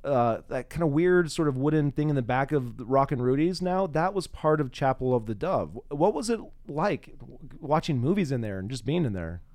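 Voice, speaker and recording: A man's voice: mid-range voice, lively intonation, moderate pace, hardly any pauses, darkish tone. A man hosting a casual, chatty interview, often echoing back what the other person says. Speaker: interviewer, podcast host.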